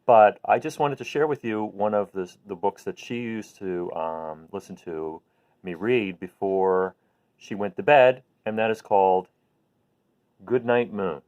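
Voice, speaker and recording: A man hosting a children's bedtime read-aloud, talking gently and simply to viewers in an unhurried way. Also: lowish voice. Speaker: host reading picture books to children. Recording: phone-like narrow-band sound.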